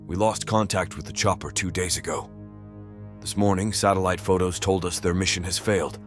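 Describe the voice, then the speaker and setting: A man's voice: deep voice, steady tone, a little fast, faint music behind, lightly acted. A man playing a sardonic, grave military figure in a horror audio comic. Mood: grave briefing. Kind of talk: dramatic audio comic acting.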